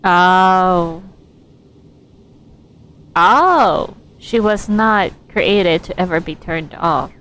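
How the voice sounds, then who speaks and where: Slow-talking, lowish-voiced woman game streamer. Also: animated, fairly bright tone. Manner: halting, giggly, thinks aloud. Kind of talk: casual live game commentary.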